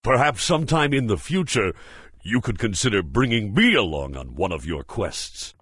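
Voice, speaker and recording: Bane, a gruff, husky mercenary warrior. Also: male voice, fairly low pitch, big pitch swings, normal speed, a bit bright, dry recording, studio quality, exaggerated voice. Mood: proud, cordial. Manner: formal, self-assured archaic speech. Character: hearty, proud axe-wielding mercenary warrior. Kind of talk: fantasy game character acting.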